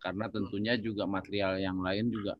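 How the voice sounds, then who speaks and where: A man talking casually in a discussion, haltingly searching for words as he thinks aloud. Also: on the low side, little pitch movement, highs cut off. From Indonesia. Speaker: panelist.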